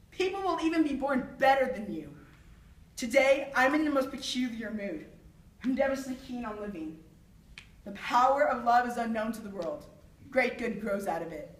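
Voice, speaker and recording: A young woman performing a theatrical monologue as an anguished, philosophizing army officer and father, declaimed with dramatic pauses. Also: a little high, close to an everyday voice. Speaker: student actor. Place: echoey room, far from the microphone. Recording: roomy echo, distant microphone.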